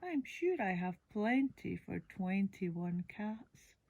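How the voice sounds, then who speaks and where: Woman reader as doting cat lady, storybook, husky breathy voice, mellow, sing-song, affectionate, welcoming. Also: medium pitch, big pitch swings, takes it slowly, no echo, highs cut off, full character voice. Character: kindly, doting woman who lives with many cats. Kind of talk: bedtime storybook character reading.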